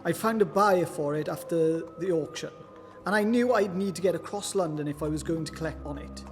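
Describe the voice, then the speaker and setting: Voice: mid-range voice, a little fast, close to an everyday voice. An actor playing a cowardly, whining manservant turned thief in a festive radio play, pleading and flustered. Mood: anxious narrating. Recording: long hall reverb, loud music underneath.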